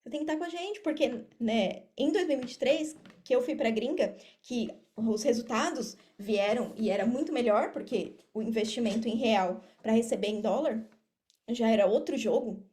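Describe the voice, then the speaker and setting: A woman's voice: fairly high pitch, moderate pace, mellow. A woman with a Brazilian accent talking casually as a podcast guest, explaining things directly to the listener. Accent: Brazilian Portuguese. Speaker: podcast guest, affiliate marketer and mentor. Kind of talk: casual interview talk.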